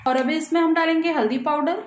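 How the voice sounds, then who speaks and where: A woman hosting a cooking channel, calmly narrating a recipe step by step in her home kitchen. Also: sits high, fast, reverberant, slight background noise. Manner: calm, instructional, repeats "we will add".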